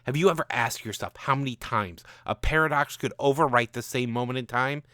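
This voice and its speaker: A man's voice: medium pitch, a little fast, pushed and bright, no room sound, studio quality, lightly acted. Man acting out a ranting, bitter time-travelling gunman. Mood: furious. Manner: mocking, ranting.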